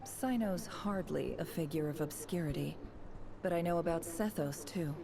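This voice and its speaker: A woman playing a cool, sardonic, ruthless desert dweller with secrets in a video game, speaking deliberately. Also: sits low, creaky, noisy background. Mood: cool, composed. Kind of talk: dramatic video game voice acting.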